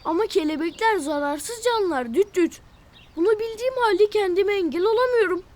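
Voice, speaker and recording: An actor voicing a timid, excitable little preschool cartoon character with a squeaky, hesitant, exclamatory delivery. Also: moderate pace. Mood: anxious, sheepish. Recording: music behind the voice.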